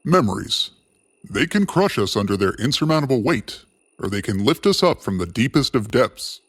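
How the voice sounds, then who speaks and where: A man narrating a scripted story theatrically, with ornate phrasing and dramatic, drawn-out pauses. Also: medium pitch, sing-song, dry recording. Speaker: storyteller and host. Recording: clear music bed behind narration. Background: music.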